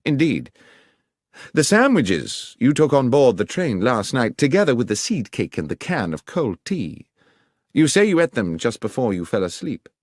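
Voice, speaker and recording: A man voicing a composed, brilliant Victorian detective in an audiobook, precise, deliberate and assertive as he questions. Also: medium pitch, sing-song, a little mellow, no echo, clean studio sound, voice pushed up. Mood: probing, assured.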